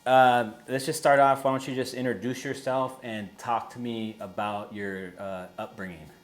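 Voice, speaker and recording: A man hosting a casual interview, relaxed and brief, calling his guest brother and dude. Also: mid-range voice, normal speed. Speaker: interview host.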